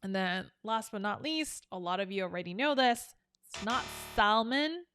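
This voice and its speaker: A woman's voice: medium pitch, animated, moderate pace, sharp tone, clear, resonant tone, dry recording, clean studio sound, faint music behind. A young woman from South Korea, teaching an English lesson in a chatty, upbeat way. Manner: chatty and upbeat, explaining with examples. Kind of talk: casual online English lesson.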